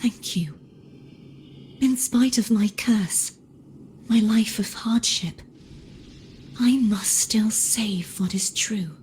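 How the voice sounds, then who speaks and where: A woman voicing a solemn, self-sacrificing warrior maiden in a video game, in a breathy near-whisper. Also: mid-range voice, takes it slowly, pauses often, a little mellow, a little hiss or hum, natural voice. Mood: weary resolve. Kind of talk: dramatic video game voice acting.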